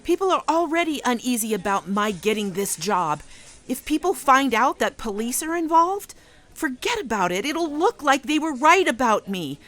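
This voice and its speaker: A woman's voice: high-pitched, very animated, moderate pace, fairly bright tone. A woman acting as an ambitious, anxious investigator in a contemporary radio drama, emphatic and sardonic. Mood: frustrated, anxious.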